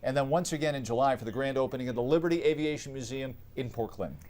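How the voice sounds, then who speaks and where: A man's voice: mid-range voice, very fast, darkish tone. A man anchoring television news with casual banter between the items.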